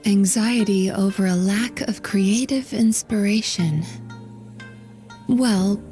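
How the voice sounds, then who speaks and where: A woman voicing a gentle young healer of dreams in a game, speaking softly, calmly and politely. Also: mid-range voice, slow pace, faint music behind, close to an everyday voice. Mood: soothing. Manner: soft-spoken, calm, polite. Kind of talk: game voice acting.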